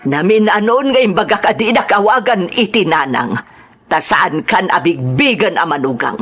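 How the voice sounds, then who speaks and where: A woman's voice: on the low side, lively intonation, moderate pace, fairly bright tone, breathy edge. A woman with an Ilocano accent playing a harsh, scolding, money-minded mother-in-law in a radio drama. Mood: scornful, angry. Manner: scornful, scolding.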